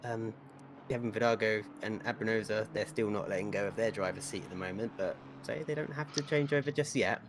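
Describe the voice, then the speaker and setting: A man's voice: lowish voice, background noise. A man leading live sim racing commentary, playful and teasing with his co-hosts while calling the action. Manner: playful, teasing, quick race calls. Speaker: lead commentator.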